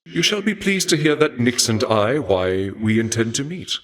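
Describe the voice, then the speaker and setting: A man voicing a courteous, regal god of war in a video game, speaking formally in archaic, measured phrases with a dark fascination for death. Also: mid-range voice, big pitch swings. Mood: polite, admiring. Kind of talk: scripted video game character dialogue.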